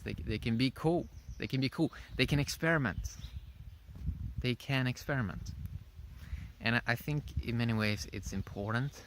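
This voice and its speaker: A man giving a casual, unscripted opinion monologue in a rambling, halting way, often repeating his phrases. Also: medium pitch, a little hiss or hum. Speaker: vlogger.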